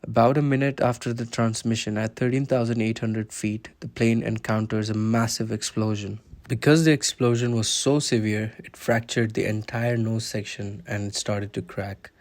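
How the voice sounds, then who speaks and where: A man's voice: lowish voice, steady tone, normal speed, hardly any pauses. A man narrating a scripted documentary, explaining events step by step.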